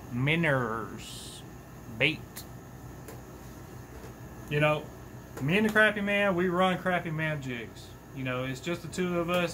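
A man in his thirties talks fishing tips in a relaxed, folksy way, his voice sitting a little high. He is recorded close to the camera in a small workshop. A steady air conditioner hum runs clearly under his voice. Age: 30s. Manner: chatty, folksy, animated with hand gestures. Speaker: fishing channel host. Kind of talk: casual talking-head tips.

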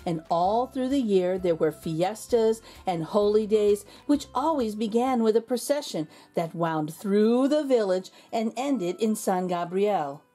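A woman with a mellow voice reads a children's story aloud. Her delivery is expressive and deliberate, in a medium pitch. Soft music plays behind her. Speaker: children's read-aloud host. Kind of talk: storybook narration.

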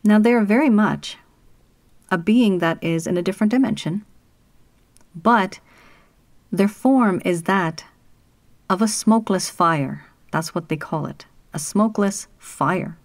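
A woman from Canada giving a slow, earnest talk about spirits as a spiritual teacher, with pauses and the odd whispered word. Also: medium pitch, no room sound. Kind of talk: solo spoken talk on spirits.

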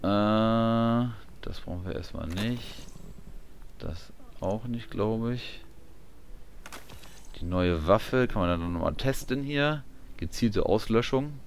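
A man's voice: fairly low pitch, takes it slowly, long pauses. A man giving casual gameplay commentary, muttering along as he reads menu items, with game sound behind him. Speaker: gamer commentating his playthrough. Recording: clear background noise, game ambience.

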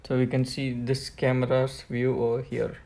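Male tutorial presenter, gravelly mid-pitched voice, plain step-by-step product walkthrough. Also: completely flat delivery, normal speed, mellow, a little hiss or hum. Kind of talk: hands-on product setup walkthrough.

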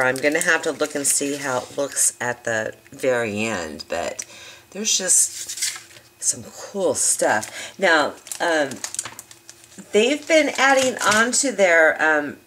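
A woman hosting a craft demonstration, slightly hoarse from a lost voice, chatting and rambling as she shows paper products. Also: deep voice, a bit bright, slight background noise. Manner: chatty, rambling, enthusiastic asides. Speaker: craft video host.

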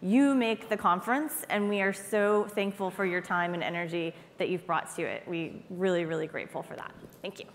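A woman's voice: on the low side, fairly quick, hardly any pauses. A woman giving an informal, upbeat talk from a conference stage. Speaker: conference presenter. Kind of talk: keynote speech. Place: large conference hall stage. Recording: long hall reverb.

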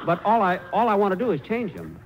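A man's voice: high-pitched, wildly swooping pitch, fast, slight background noise, rough recording. A man playing a fussy, indecisive shopper in a radio comedy sketch, with a hesitant, dithering manner. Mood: meekly insistent. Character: fussy, indecisive male shopper, mild and stubborn.

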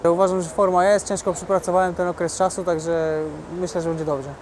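A man's voice: high voice, little pitch movement, brisk, darkish tone. Matter-of-fact young man, faint street noise. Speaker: boxer. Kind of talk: interview answers. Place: outdoors by a glass building.

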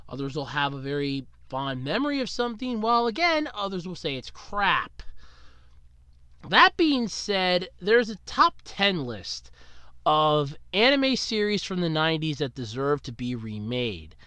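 A man talking through a solo podcast in a rambling, casual way, still getting over a cold. His voice is bright and smooth, and it jumps around a lot in pitch. The sound is close and dry, with no room around it. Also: very animated, a little slow, no room sound. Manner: rambling, chatty, swings up and down in pitch. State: recovering from a cold. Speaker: podcast host.

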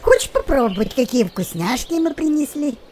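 A woman's voice: sits high, animated, moderate pace, heavy background noise. A woman voicing a tender, anxious little boy chick in a children's story. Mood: cheerful, generous. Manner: anxious, exclaiming.

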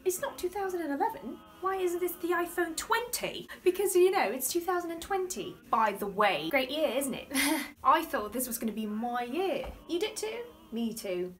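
Comic bit: a woman playing a scatterbrained old dame, high and singsong, prim but crude, wanders off topic. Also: lively intonation, normal speed, a bit bright. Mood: rambling, genteel. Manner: rambling, easily distracted, prim yet crude. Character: dotty older British lady, baffled by technology. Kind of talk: comedic character monologue to camera. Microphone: phone camera.

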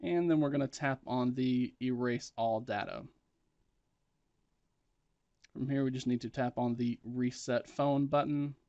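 A man's voice: mid-range voice, steady tone, moderate pace, dark tone, dry recording, dull audio. A man presenting a step-by-step tech tutorial in a plain, matter-of-fact instructional way.

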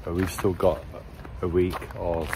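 A man's voice: deep voice, flat delivery, a little slow, heavy background noise. A man giving cheerful daily weather updates outdoors in a city for his travel vlog. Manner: upbeat, chatty weather updates. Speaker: travel vlogger. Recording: background music over outdoor ambience.

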